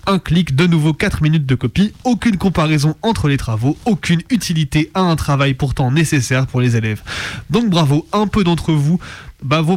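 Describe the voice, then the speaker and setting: A man's voice: a little high, no room sound. A man co-hosting a radio show with informal, politically engaged commentary and casual presenting. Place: radio studio.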